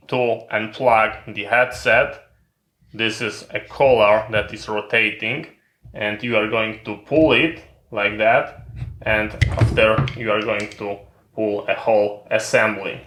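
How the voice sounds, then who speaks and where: A man's voice: fairly low pitch, bright, forceful tone, a little hiss or hum. A man giving a methodical, step-by-step hands-on equipment tutorial in non-native English phrasing. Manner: methodical, instructional. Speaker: tutorial presenter. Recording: roomy, echoing sound.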